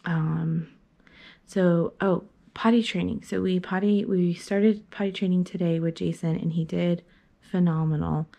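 Gravelly-voiced young mom rambling at home. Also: female voice, on the low side, steady tone, unhurried, dark tone. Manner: rambling, hesitant, many fillers and restarts. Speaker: mom vlogger. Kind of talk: casual chat. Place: small carpeted playroom at home, indoors. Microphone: handheld phone camera at arm's length.

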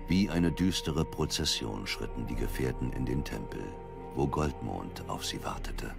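A man's voice: very deep voice, lively intonation, unhurried, gravelly, exaggerated voice. A man narrating a fantasy audio drama in a dramatic scripted style. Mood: solemn. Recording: loud music behind the voice.

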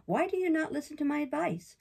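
A woman's voice: high voice, big pitch swings, fast talker, vocal fry, a little mellow, pitched above the performer's own voice, heavily acted. Woman doing a sly, wheedling male fox.

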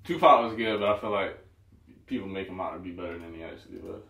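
A young man chatting casually in a recording studio, relaxed and slangy, saying bro a lot. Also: low-pitched, fast, gravelly, distant mic. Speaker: singer-rapper in a studio session. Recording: roomy, from off-mic.

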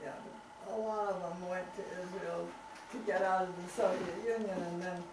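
A woman's voice: fairly low pitch, steady tone, a little fast, echoey room, background noise. An older woman speaking frankly, joining a lecture from a table in a meeting room, recorded by a far camera. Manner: frank, interjecting. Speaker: guest speaker. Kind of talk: conversational remarks.

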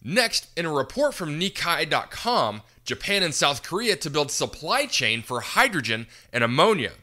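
Bright, forceful male podcast host. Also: fairly high pitch, sing-song, moderate pace. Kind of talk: scripted news commentary narration.

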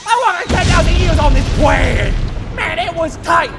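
An ancient cartoon villain, acted by a man, with a very high male voice that swings between grandiose melodrama and slangy, whining slacker talk. Loud music plays behind him. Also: animated, unhurried, vocal fry, full character voice. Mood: whining dismay. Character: ancient villain, grandiose but whiny surfer-dude slacker. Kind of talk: parody anime dub.

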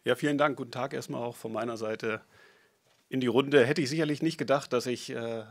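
A man's voice: medium pitch, steady tone, fairly quick. A man in his forties from Berlin, an eager new sporting director speaking at a press conference on a table mic. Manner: eager, upbeat. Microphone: table microphone.

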